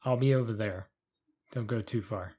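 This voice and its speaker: A man playing a terse, protective father who is a tough fighter in an audio fantasy play, with a husky voice. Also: fairly low pitch, normal speed, mellow. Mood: urgent, protective. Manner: terse, firm.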